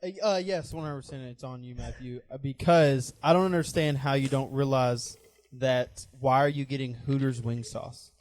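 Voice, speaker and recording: A man's voice: medium pitch, fairly even intonation, moderate pace, darkish tone. A man co-hosting a podcast, bantering casually in a teasing manner. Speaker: podcast co-host.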